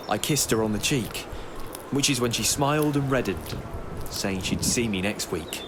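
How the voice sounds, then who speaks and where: A man's voice: mid-range voice, noisy background, lightly acted. A young British man acting an anxious, self-doubting young man on a night out in a rhyming spoken-word audio play. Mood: calm, content. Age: young adult. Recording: music behind the voice. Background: music.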